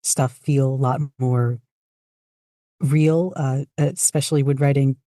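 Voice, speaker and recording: A soft-edged, mellow voice recorded close and dry. The guest talks casually in an interview, choosing words in a measured, reflective way with a self-deprecating streak. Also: mid-range voice, steady tone, no echo. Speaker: podcast guest, fanfiction writer.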